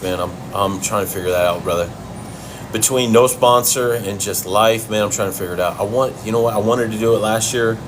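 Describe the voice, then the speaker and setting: A blunt, profane man from Chicago talks in a lowish voice, answering viewers' questions at home between bites of food. An air conditioner hums steadily behind him. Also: background noise. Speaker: fitness livestream host. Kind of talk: casual livestream Q and A.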